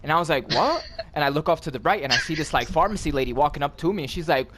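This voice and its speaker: An American man tells a story to his friends in a lively, swooping voice. He is slangy and profane and acts out each side of the dialogue. There is slight noise behind his voice chat. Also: on the high side, racing. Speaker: gaming channel host telling a story. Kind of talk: casual storytelling among friends.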